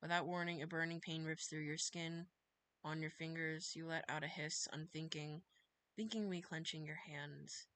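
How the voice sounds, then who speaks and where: A woman live-streaming a visual novel, reading it aloud and commenting in an expressive, theatrical, playfully exasperated way. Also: sits low, no room sound. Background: loud guitar game music.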